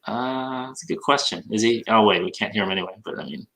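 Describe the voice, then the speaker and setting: Man, Minnesota accent, bright, fairly low voice, teasing casual banter. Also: fast talker. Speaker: podcast host. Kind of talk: casual chat while listing items.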